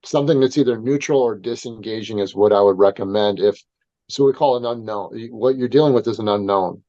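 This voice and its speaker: A man's voice: fairly low pitch, fairly quick, dark tone, no room sound. A man speaks as a guest instructor on a podcast, earnest and explanatory. His audio sounds dry and somewhat muffled, as if sent in from a remote mic. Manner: earnest, explains with hypothetical quotes. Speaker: self-defense instructor guest. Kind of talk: casual podcast conversation.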